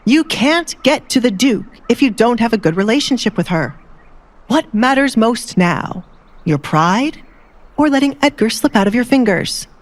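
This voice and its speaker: A woman's voice: fairly high pitch, animated, normal speed, pitched above the performer's own voice, close to an everyday voice. A woman voicing a scheming, domineering middle-aged baron father in an audio drama, commanding and calculating. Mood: stern, threatening.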